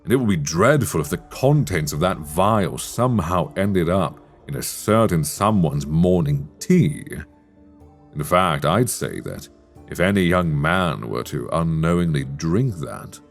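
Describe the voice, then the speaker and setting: A man acting a sly, teasing master of a magic academy in a dark fantasy ASMR roleplay, speaking in measured, wry, formal phrases. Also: a bass voice, gravelly, full character voice. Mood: conspiratorial, sly.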